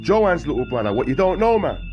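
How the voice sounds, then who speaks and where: A man's voice: a little high, big pitch swings, fairly quick, darkish tone, muffled recording, soft background music, pitched above the performer's own voice. A man voicing a friendly young male inmate, calling out from a neighbouring cell in street slang. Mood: shouting.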